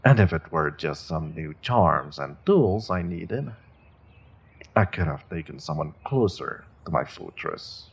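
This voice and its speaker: A man playing a menacing, courteous evil sorcerer warlord in a fantasy audio roleplay, gloating in a mock-polite, deliberate way with a gravelly, husky voice. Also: deep voice, expressive, exaggerated voice. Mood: proud, calculating. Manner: slow, deliberate, mock-polite, savoring threats with drawn-out pauses. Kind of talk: fantasy audio roleplay, speaking directly to a captive listener.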